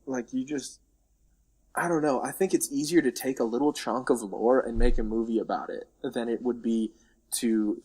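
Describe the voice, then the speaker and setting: A man's voice: mid-range voice, darkish tone. A man co-hosting a casual podcast about games and films, talking informally and tentatively as he thinks aloud. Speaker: podcast co-host.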